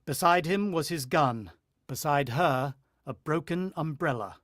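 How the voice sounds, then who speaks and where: A man's voice: a little high, expressive, unhurried, heavy background noise. A man acting a desperate, haunted husband in a radio play, telling of a siege in grave, clipped, intense style. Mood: grim, haunted. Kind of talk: dramatic radio play narration and dialogue.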